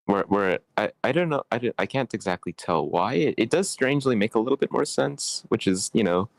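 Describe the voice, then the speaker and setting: Rambling, hesitant man, lowish voice. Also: lively intonation, brisk, creaky, no echo. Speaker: participant giving script feedback. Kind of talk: casual, slangy chat. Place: online meeting.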